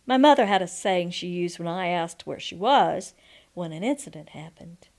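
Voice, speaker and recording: A woman in her sixties reading a folksy memoir aloud as a storyteller, expressive and deliberate, with pauses. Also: fairly low pitch. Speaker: storyteller and audiobook narrator. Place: quiet room at home, indoors. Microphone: microphone on a stand before her, seated.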